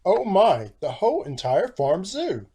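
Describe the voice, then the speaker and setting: High-voiced man narrating a children's storybook. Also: huge pitch swings, unhurried, mellow, slightly rough. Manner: theatrical, rhyming read-aloud delivery.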